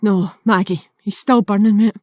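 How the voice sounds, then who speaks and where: A woman's voice: mid-range voice, fairly even intonation, normal speed, a little mellow, no room sound, studio quality, natural voice. A woman playing a distressed, urgent Scottish mother in a historical audio drama in Scots. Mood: worried, despairing. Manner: urgent, pleading. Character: distressed Scottish mother soothing her feverish man.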